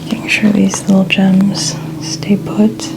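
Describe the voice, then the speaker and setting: A woman speaking softly as an ASMR host, often close to a whisper, with gentle, soothing, unhurried instructions. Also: mid-range voice, monotone, gravelly, noisy background. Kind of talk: soft-spoken ASMR, often near whisper.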